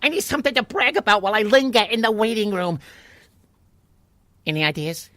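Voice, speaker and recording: A man's voice: high voice, expressive, a little fast, pushed and bright, no echo. A man playing a restless, self-important little water molecule in a children's radio play, complaining and urging. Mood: fed up. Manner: eager, complaining, urgent.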